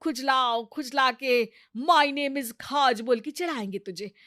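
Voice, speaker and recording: A woman playing a hot-tempered, stubborn older village mother-in-law in a cartoon, scolding loudly. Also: sits high, slow, no echo, studio quality, exaggerated voice. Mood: bossy, exasperated. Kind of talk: cartoon family comedy acting.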